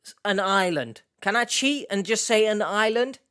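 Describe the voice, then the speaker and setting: Brief: young male host, jokey and rambling, slightly high and smooth. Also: lively intonation, normal speed, pushed and bright. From Turkish Cypriot heritage, based in the UK. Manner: jokey, rambling, self-mocking. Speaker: YouTube host. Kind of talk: casual Q&A chat, reading out viewer questions.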